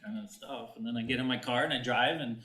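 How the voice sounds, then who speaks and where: A man's voice: medium pitch, fairly even intonation, fast talker, sharp tone. A man with an American accent chatting casually as an interview guest, searching for his phrases as he talks. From United States. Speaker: actor and voiceover artist, interview guest. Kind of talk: casual chat on a live interview show. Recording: roomy, echoing indoor sound.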